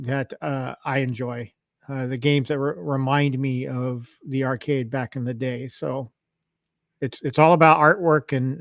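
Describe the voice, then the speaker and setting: A man's voice: mid-range voice, dry recording, studio quality. A middle-aged man hosting a casual livestream from his home arcade room, rambling with many restarts. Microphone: lavalier mic.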